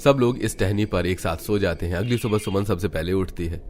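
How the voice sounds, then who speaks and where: A man reading scripted Hindi narration for an animated family story as its narrator. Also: low voice, a little fast, a little hiss or hum. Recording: music behind the narration.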